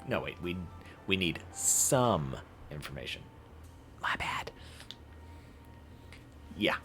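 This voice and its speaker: A man live-streaming a visual novel playthrough, reading aloud haltingly and correcting his own stumbles. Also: rumbling low, very animated, pushed and bright, faint music behind.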